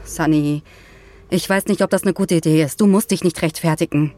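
A woman's voice: deep voice, fairly quick, dark tone, close to an everyday voice. A woman playing an outspoken astronaut in an audio drama, blunt and argumentative, with a sarcastic edge. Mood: concerned, insistent. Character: outspoken, indignant female astronaut defending her crewmate. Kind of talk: naturalistic audio drama dialogue.

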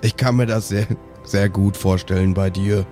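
A cheeky dwarf with crude humour, played by a man, speaking in a low, dark, mellow voice. He bickers casually in an improvised fantasy audio play, with music in the background. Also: steady tone, normal speed, lightly acted.

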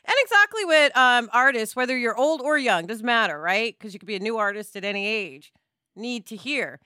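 Bright-voiced, chatty woman hosting a podcast. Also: fairly high pitch, lively intonation, brisk, clear, resonant tone, no room sound, studio quality. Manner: chatty, informal. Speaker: podcast host. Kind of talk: casual podcast chat.

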